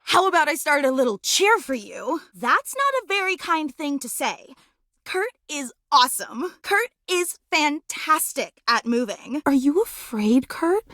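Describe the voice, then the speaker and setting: A bubbly, devoted superfan woman from a video game. Her voice is high and wildly animated, with a dramatic, slangy cheerleader's gush. The sound is close and dry. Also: a little slow, no echo, full character voice. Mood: cheering, supportive, then hurt, annoyed. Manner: excitable, dramatic, slangy gushing. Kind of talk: video game character voice acting.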